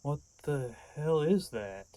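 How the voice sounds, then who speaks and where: A man's voice: on the high side, animated, slow, mellow, dry recording. A man playing a sarcastic, distrustful, impatient younger man in an audio drama, blunt and sardonic. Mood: irritated. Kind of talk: audio fiction podcast acting.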